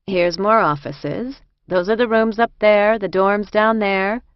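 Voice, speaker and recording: A woman voicing a brisk, no-nonsense woman inmate showing a newcomer around, in audiobook dialogue. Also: medium pitch, clean tone, dry recording, muffled recording, pitched above the performer's own voice, lightly acted. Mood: brisk, firm.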